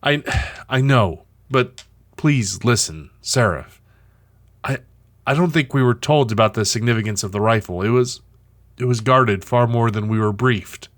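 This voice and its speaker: A man's voice: medium pitch, a little slow, close to an everyday voice. A man playing an anxious young operative pleading for help in an audio drama, hesitant and desperate.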